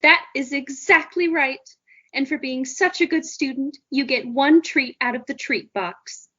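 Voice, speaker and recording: A woman's voice: sits high, unhurried, bright, forceful tone, muffled recording. An actress playing a kindly but controlling older female teacher, sweet and coaxing yet insistent, in an audio drama.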